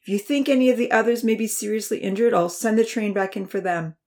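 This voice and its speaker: A woman's voice: medium pitch, fast, voice pushed up, natural voice. A woman voicing an urgent, steadying professor. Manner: urgent, clipped, then calm and reassuring. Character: hurried male university professor, worried but steady.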